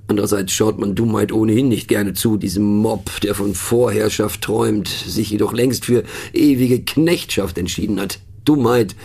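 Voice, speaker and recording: A man's voice: fairly low pitch, creaky, darkish tone, husky, heavily acted. A man playing a pensive, mocking narrator in a satirical radio play monologue, speaking ironically with conversational, questioning asides. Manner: ironic, rhetorical, punctuated with little questioning tags. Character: wry, pensive, mocking male narrator musing on words.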